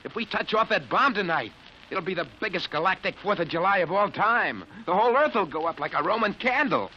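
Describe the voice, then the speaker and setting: An actor playing a desperate, haunted test pilot in a 1950s radio drama, speaking with urgency and intensity. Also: male voice, high voice, lively intonation. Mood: desperate pleading. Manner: urgent, intense, halting when entranced. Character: desperate, haunted male rocket test pilot raving under hypnosis. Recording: muffled, hissy old radio recording.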